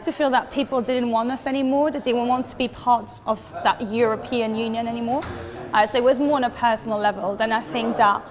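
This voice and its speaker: A woman's voice: on the high side, in a large hall. A woman in her twenties from Switzerland, answering interview questions in an earnest, enthusiastic way, leaning on emphatic words. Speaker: interviewee, advertising professional. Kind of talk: talking-head interview answers.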